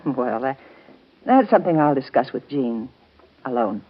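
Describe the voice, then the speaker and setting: An actress in a 1930s radio soap opera playing a patient, motherly guardian, gentle, earnest and coaxing. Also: extremely low pitch, very animated, moderate pace, husky, muffled recording, full character voice. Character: warm, patient motherly guardian woman of twins. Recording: band-limited scratchy 1930s radio broadcast.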